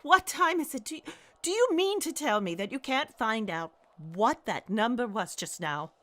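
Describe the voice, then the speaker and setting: A woman's voice: a little high, sing-song, normal speed, pushed and bright. A woman acting an imperious, panicky married woman in a radio play, haughty, agitated and insistent. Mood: indignant, scolding.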